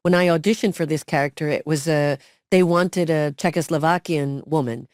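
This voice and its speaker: A middle-aged woman co-hosting a casual interview, telling her story in a lively way and acting out the quotes. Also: low voice, moderate pace, dry recording, studio quality. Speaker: podcast co-host, former cast member.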